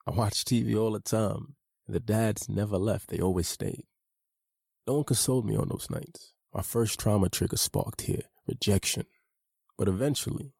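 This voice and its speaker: Male author-narrator, memoir read, low gravelly voice, dark tone, dry close sound. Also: no echo. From United States. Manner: measured, earnest, personal. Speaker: author narrating his own audiobook.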